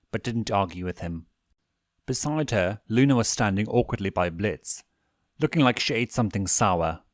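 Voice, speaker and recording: Low-voiced man narrating expressively. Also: no room sound, studio quality. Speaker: fan fiction reader and narrator. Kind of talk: expressive scripted story narration.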